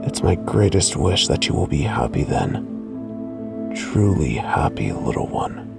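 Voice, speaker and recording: A man playing a tender, guilt-torn lover in an intimate audio roleplay, speaking in a hushed, halting, soothing way. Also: sits low, big pitch swings, vocal fry, exaggerated voice. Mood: fond, soothing. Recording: music under the voice.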